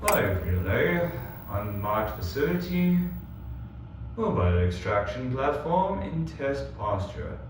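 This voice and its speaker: A man's voice: medium pitch, sing-song, takes it slowly, slight background noise. Man acting an echo-drenched field operator reporting. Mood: flat, official. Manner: terse technical reporting. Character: male field operator relaying urgent telemetry reports. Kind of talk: sci-fi audio drama acting. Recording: very echoey, distant, music underneath.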